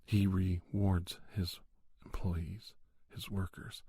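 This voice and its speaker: Man, ASMR monologue, dark mellow tone, creaky fry, measured reflective pacing. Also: low voice, level tone, no room sound. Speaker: ASMR podcast host.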